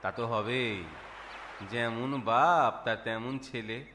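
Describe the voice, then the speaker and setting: An actor playing a rich, domineering merchant master in a children's cartoon, with a commanding, condescending manner and drawn-out words. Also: male voice, mid-range voice, fairly even intonation, sharp tone, slight background noise. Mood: indulgent, amused. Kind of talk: children's cartoon story dialogue. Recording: music bed under the voice.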